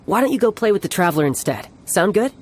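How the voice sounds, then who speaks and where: A man playing a cocky young warrior commander and doting big brother in a video game, with a theatrical, smooth-talking, authoritative manner. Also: sits high, very animated, quick pace, slight background noise. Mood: casual, placating. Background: background music.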